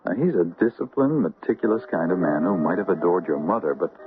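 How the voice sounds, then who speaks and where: Deep, expressive, reasonable gentleman. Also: quick pace. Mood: reasoning, exasperated. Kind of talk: 1970s radio drama acting. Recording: muffled, hissy old radio recording.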